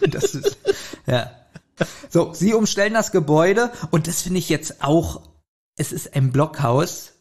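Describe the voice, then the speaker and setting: A man's voice: fairly high pitch, big pitch swings, takes it slowly, long pauses, a little mellow. A man co-hosting a podcast, retelling and critiquing a story in an informal conversational way. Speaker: podcast co-host. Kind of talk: casual review chat, retelling a plot.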